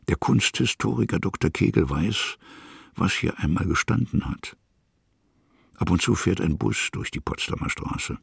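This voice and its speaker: A man reading literary scripted narration in a measured way, with a gravelly, husky voice and pauses between short phrases. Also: deep voice, fairly bright tone, no echo, studio quality.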